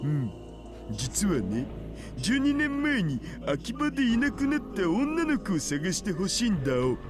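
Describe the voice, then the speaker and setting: An easygoing young otaku man from an anime game, chattering in a very high, cartoonish voice. His slangy speech swings widely in pitch and moves quickly, with music behind. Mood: casual, explaining. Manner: slangy, casual, animated. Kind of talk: anime visual novel voice acting.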